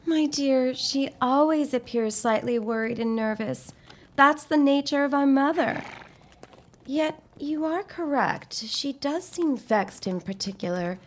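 An actress playing a poised, dramatic young debutante elder sister with airy, formal diction in a period audio drama. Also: female voice, high-pitched, a little slow. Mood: airy, affectionate. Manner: airy, affected, formal old-fashioned diction.

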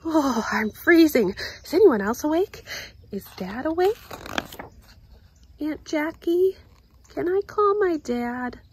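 A woman voicing an eager, anxious little girl in a children's picture book, with expressive exclamations. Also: high voice, takes it slowly, pauses often, a little hiss or hum, voice pushed up.

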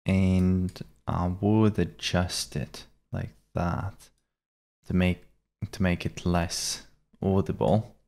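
A young adult man explaining software step by step in a measured, instructional way. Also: deep voice, steady tone, vocal fry. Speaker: tutorial presenter. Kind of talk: step-by-step software tutorial narration. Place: small indoor room at a computer.

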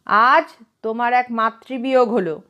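A woman's voice: fairly high pitch, moderate pace, pushed and bright. A woman voicing a stern, fearless but kindly widowed elder aunt with a scolding, commanding manner in a story reading. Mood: solemn. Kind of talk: storybook character voice.

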